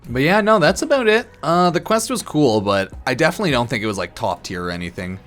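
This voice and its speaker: A man's voice: mid-range voice, big pitch swings, fairly bright tone. Casual, loose-talking male streamer over music. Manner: slightly tipsy, loose, halting and repetitive; informal, crude slang and swearing. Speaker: game streamer. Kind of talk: casual rambling stream commentary on a game. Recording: music behind the voice. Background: background music.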